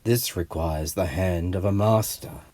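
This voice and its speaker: A man playing a calm, grave Vulcan alien mind-reader in an audio comic, speaking in a measured, solemn, hushed way. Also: deep voice, recorded from a distance. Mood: dry, superior. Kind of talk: dramatic audio comic storytelling.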